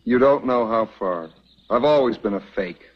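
A man's voice: mid-range voice, lively intonation, moderate pace, close to an everyday voice. An actor playing a cynical young male settler in a 1950s western TV drama, talking bitterly and then earnestly. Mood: confessional. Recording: dull old TV soundtrack with loud music.

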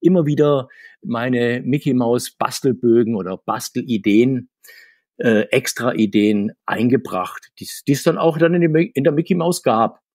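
A man's voice: medium pitch, measured pace, darkish tone, no room sound. A middle-aged man telling anecdotes as an interview guest, chatty and digressive, often restarting his sentences. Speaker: podcast guest, comics author.

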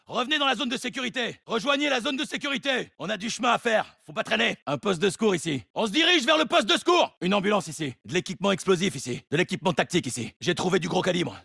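A gritty, gravelly special forces soldier. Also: male voice, sits high, expressive, fast, few pauses, pushed and bright, no room sound, clean studio sound, heavily acted. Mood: low, calm, focused. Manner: terse, clipped military callouts. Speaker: video game voice actor. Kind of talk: military shooter game voice lines, French dub.

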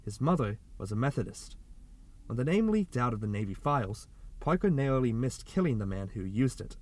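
A man's voice: mid-range voice, sing-song, brisk, darkish tone. A man narrating a short story as a scripted audiobook reading.

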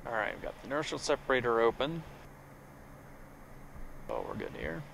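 A man's voice: mid-range voice, huge pitch swings, moderate pace, gravelly, a bit bright, slight background noise, rough recording. A man, a pilot, chatting casually over a headset in a small aircraft cockpit. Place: small turboprop cockpit in flight. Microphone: aviation headset. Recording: engine drone, cockpit headset audio.

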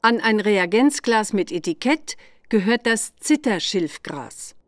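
Woman playing a slow-spoken patient witch teacher. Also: medium pitch, animated, dry recording, studio quality, close to an everyday voice. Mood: patient, instructive. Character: older witch examiner, patient female instructor, affectionate. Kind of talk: children's game voice acting, instructional.

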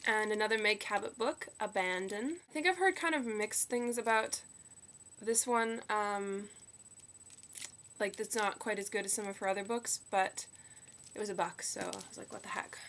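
A young woman with a slightly croaky voice from a cold, chatting upbeat about new books into a webcam in her bedroom. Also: medium pitch, a bit bright. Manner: chatty, upbeat, quick asides. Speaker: book vlogger. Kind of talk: casual book haul chat. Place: bedroom at home. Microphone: webcam at desk.

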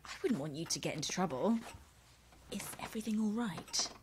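An actress playing a lonely, eager-to-please romance novelist in an audio drama, hesitant and apologetic. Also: female voice, mid-range voice, lively intonation, a little fast, background noise, natural voice. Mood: flustered, polite. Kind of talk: naturalistic audio drama acting.

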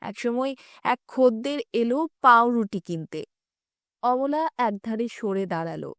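A woman narrating a literary short story in a measured, restrained yet emotive way. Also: fairly high pitch, expressive, fairly bright tone, smooth, no echo, clean studio sound. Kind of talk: dramatic reading of literary short fiction.

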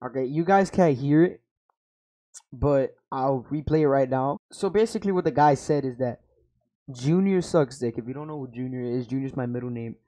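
Self-mocking young man with a mellow voice. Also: mid-range voice, moderate pace. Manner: rambling, self-mocking, swears freely. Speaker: gaming YouTuber reacting to his old videos. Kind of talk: casual reaction commentary. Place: small room at home. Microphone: over-ear headset mic.

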